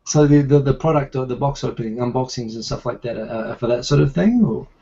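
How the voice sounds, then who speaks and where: A man with a softened New Zealand accent hosting a casual live video chat, talking in halting restarts and repeated words. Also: medium pitch, gravelly, slightly rough, lo-fi sound. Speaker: live show host. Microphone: video call.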